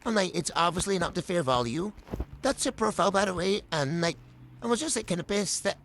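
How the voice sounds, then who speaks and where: High-voiced, rambling gameplay commentator. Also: lively intonation, brisk, fairly bright tone, a little hiss or hum. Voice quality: high-pitched voice. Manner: rambling, full of "like" and "um" fillers. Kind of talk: casual voice-over commentary on gameplay.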